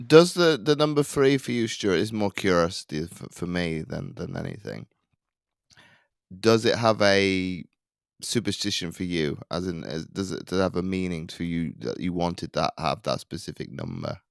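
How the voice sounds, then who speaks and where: A man's voice: sits low, very animated, moderate pace, creaky, dry recording, studio quality. A man hosting a podcast, asking questions in a rambling, conversational way that drifts into tangents. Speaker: podcast host. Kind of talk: conversational interviewing.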